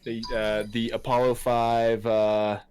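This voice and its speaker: A man in his late twenties chatting on a podcast, easygoing and a bit crude. He swears freely and laughs a lot, with an ordinary middle-pitched voice. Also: level tone, slow, crowd noise. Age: 20s. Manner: loose and profane, laughs often. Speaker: podcast co-host. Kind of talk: casual chat.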